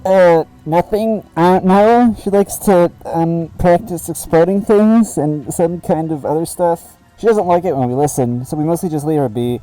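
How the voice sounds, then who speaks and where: A jittery little minion creature with a high, mellow, dark-edged voice, put on by a man reading the story. He talks loud and excitable, swinging from breezy to frantic, with faint music underneath. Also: normal speed, few pauses, natural voice, low-quality recording. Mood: nervous. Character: small male minion creature, jittery, laid-back.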